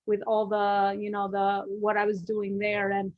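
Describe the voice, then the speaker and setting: A woman's voice: mid-range voice, monotone, a little slow, dry recording, phone-quality sound. A middle-aged woman with an Albanian accent, a business coach telling her story as a podcast guest, chatty with many fillers and restarts.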